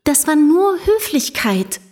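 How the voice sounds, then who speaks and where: An actress playing an outspoken, imaginative young daughter in a period radio drama, forthright and excitable. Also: female voice, high voice, takes it slowly, heavily acted. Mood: insistent.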